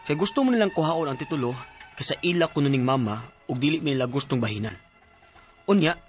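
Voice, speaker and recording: A man's voice: a little high, animated, quick pace, soft background music, close to an everyday voice. A man playing a frustrated, worried young grandson in an audio drama. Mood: troubled narration.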